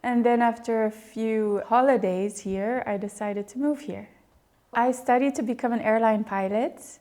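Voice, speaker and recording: A woman in her thirties or forties who grew up in the United States, answering interview questions about her career. She speaks in a conversational, self-assured way, with her pitch in the middle range. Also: mellow. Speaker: interviewee, company CEO.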